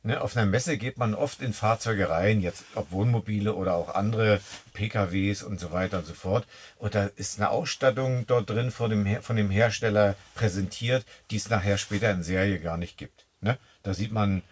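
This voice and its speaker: Husky guy, lowish voice, easygoing chat in a van. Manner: relaxed, talks in drawn-out asides with tag questions. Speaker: camping vlog co-host. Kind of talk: casual chat. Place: inside a camper van.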